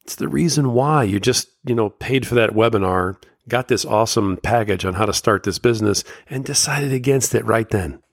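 A man's voice: on the low side, normal speed, hardly any pauses, no room sound, studio quality. A man hosting a solo podcast, talking candidly and motivationally, his voice slightly scratchy from a summer cold. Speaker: podcast host.

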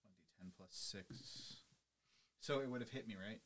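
Bright-voiced man chatting over a game. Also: fairly low pitch, normal speed. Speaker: tabletop game player. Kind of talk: casual game chat.

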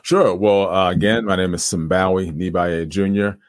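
A man, a guest on a podcast, talking casually about himself with many pauses. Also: low-pitched, dry recording. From Trenton, New Jersey. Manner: relaxed, many fillers and uhs. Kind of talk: casual interview talk.

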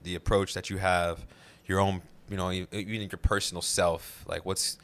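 Deep-voiced man hosting a chat. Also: little pitch movement, moderate pace, a bit bright. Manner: chatty, encouraging. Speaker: interview host. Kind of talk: casual interview chat.

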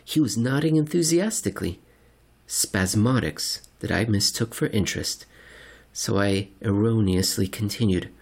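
A man reading a scripted comic science-fiction story in the first person, with a dry, deadpan, wordy delivery. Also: lowish voice, normal speed, creaky, breathy edge. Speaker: narrator.

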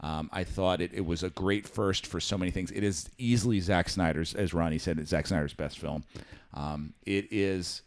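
A man hosting a casual film podcast, giving a measured review with dry jokes and "um" fillers. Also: low-pitched. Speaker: podcast host.

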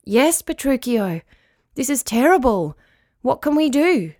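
A woman voicing an earnest, excitable, loyal male pet dog in a children's story. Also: sits high, a little slow, no room sound, clean studio sound, pitched above the performer's own voice. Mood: worried.

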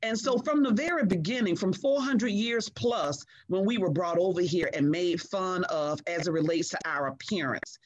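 A middle-aged woman speaking as a guest in a conversation, earnest and emphatic, measured in her delivery. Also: fairly low pitch, crowd noise, rough recording. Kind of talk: reflective conversation.

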